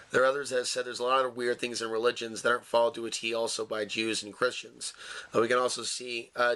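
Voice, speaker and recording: A man giving unscripted video commentary with halting, hesitant phrasing. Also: mid-range voice, pushed and bright.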